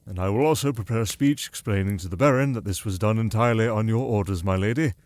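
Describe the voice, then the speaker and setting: A man's voice: lowish voice, fast, darkish tone, lightly acted. A man playing a protective, exasperated retainer to a noble lady in a fantasy audio drama, formal and dutiful in speech. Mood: reluctant, exasperated.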